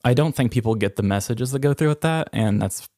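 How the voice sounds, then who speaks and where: A man's voice: medium pitch, a little fast, darkish tone, no room sound, studio quality. A man co-hosting a podcast, talking in an earnest, conversational way and searching a little for his words. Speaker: podcast co-host. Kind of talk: personal, conversational podcast talk.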